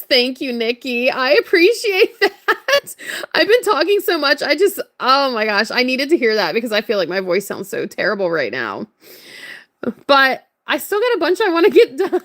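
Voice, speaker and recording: A woman with a hoarse, worn voice, chatting casually and greeting viewers while crafting on a livestream. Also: high-pitched, a bit bright. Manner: chatty, upbeat, laughs often. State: hoarse, voice strained from hours of talking. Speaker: livestream crafter and shop owner.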